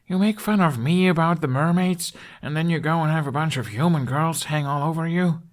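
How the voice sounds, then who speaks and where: A man's voice: fairly high pitch, a little fast, voice pushed up, close to an everyday voice. A man voicing a whiny, easily embarrassed, indignant boy in a fantasy audiobook. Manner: whining, hushed muttering and hissing.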